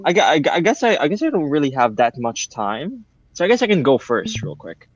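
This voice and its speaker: Dull-sounding phone mic, animated higher-voiced man. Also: fairly quick. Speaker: reader in a group fan fiction readalong. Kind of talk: casual group voice chat.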